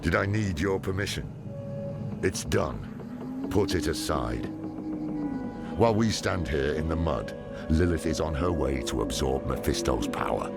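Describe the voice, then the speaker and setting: An actor playing a grim, weary old warrior-scholar in a video game, gruff and resolute, with a gravelly voice. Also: male voice, very deep voice, animated, a little slow, pauses often, heavily acted. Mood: defiant, urgent. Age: older. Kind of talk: cinematic video game acting, brooding narration. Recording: music under the voice.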